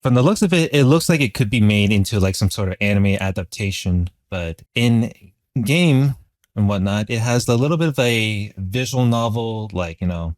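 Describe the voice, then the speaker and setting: Low-voiced man rambling in casual commentary. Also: dry recording. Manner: rambling, hesitant delivery. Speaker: video commentator.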